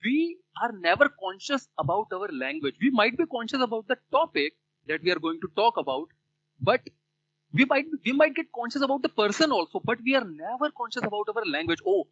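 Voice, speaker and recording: A man's voice: very high-pitched, sing-song, fairly quick, fairly bright tone, muffled recording. A man with an Indian English accent, a native Hindi speaker, chatting thoughtfully in conversation practice with many fillers and restarts. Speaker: learner.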